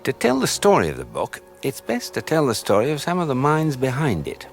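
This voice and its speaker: An actor playing a wry storyteller in a science-fiction radio comedy, measured and drily whimsical. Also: male voice, medium pitch, wildly swooping pitch, soft background music.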